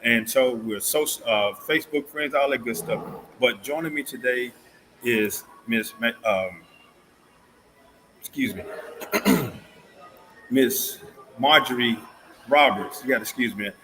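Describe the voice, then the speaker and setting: Hoarse man hosting interview. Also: medium pitch, slow pace, long pauses, fairly bright tone, slight background noise, low-quality recording. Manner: upbeat, promotional, stumbles over words. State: slightly hoarse. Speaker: host.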